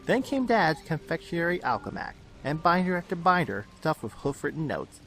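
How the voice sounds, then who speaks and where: A man's voice: a little high, sing-song, fairly quick, gravelly, fairly bright tone, soft background music. A man narrating a dramatic scripted story as its storyteller.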